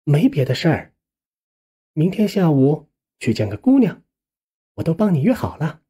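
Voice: mid-range voice, very animated, normal speed, mellow, husky. A narrator acting a bossy, doting middle-aged aunt. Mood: coaxing. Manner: nagging, coaxing.

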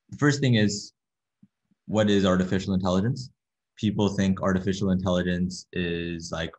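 A young man from Canada giving an online workshop lecture in a soft-spoken, hesitant way, with many fillers and repeated words, over a laptop webcam. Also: low-pitched. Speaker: workshop presenter. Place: small room with a window, indoors. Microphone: laptop webcam on a video call. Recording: dull lo-fi video call audio.

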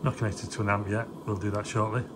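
A man giving casual, hands-on commentary in short, unhurried remarks as he works on equipment. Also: fairly low pitch, little pitch movement. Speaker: host of a hands-on vintage electronics video. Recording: clear background noise with clicking.

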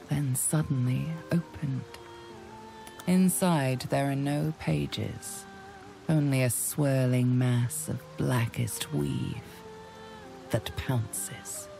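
An actress playing a grave, ominous storyteller, giving deliberate dramatic narration for a fantasy video game. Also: female voice, rumbling low, gravelly, dark tone, exaggerated voice, poor audio. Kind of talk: dramatic second-person scripted narration.

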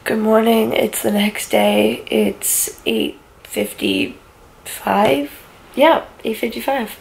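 A young woman with a raspy voice chatting in a sleepy, low-key way for her home vlog, filmed on a phone at arm's length in her bedroom. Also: takes it slowly, low-quality recording. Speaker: vlogger. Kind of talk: casual vlog chat. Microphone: phone camera held at arm's length.

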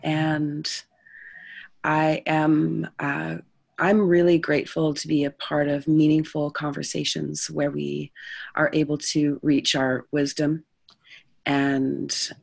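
A woman introducing herself hesitantly on a video call, with many pauses and restarts. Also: low-pitched, flat delivery, creaky, breathy edge. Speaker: participant in a women's circle.

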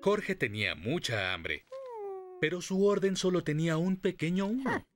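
A man with a Latin American Spanish accent, narrating a children's story in an expressive, scripted storytelling style. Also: high-pitched, normal speed. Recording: music under the voice.

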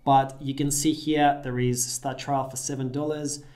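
A man's voice: medium pitch, flat delivery, normal speed, darkish tone. A man in his thirties from Russia, a Russian native speaker, giving an upbeat screen-share tutorial in a small room. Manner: upbeat, direct instructional talk. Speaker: affiliate marketing tutor.

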